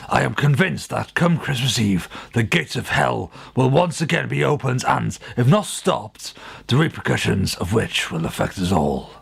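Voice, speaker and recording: An actor in a radio play as an earnest, middle-aged father and churchman, speaking gravely and formally of coming evil. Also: mid-range voice, lively intonation, normal speed, heavily acted. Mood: grave, foreboding.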